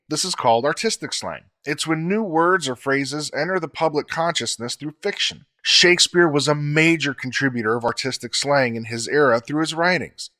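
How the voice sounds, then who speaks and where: A man co-hosting a podcast, explaining facts in a measured, scripted way. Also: on the high side, sharp tone.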